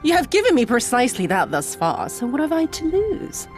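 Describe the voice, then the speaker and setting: A woman's voice: high-pitched, wildly swooping pitch, brisk, soft background music. A woman playing a cruel, contemptuous military commander in a video game, with a haughty, sneering, theatrical manner. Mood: threatening. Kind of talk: video game villain acting.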